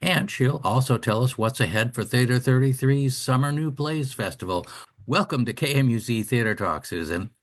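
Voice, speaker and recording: A man's voice: mid-range voice, normal speed. A man co-hosting a radio talk show, delivering scripted announcements and interview questions.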